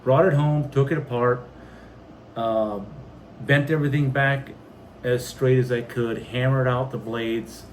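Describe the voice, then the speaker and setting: A man's voice: mid-range voice, steady tone, measured pace, slight background noise. A man with an American accent chatting casually on a homestead vlog in a plainspoken, folksy way. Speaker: homesteading video host.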